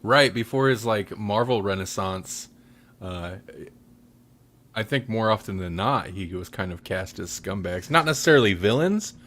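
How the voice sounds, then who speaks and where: A man's voice: on the low side, expressive, normal speed, fairly bright tone. A man podcast host in casual, rambling chat, often correcting himself.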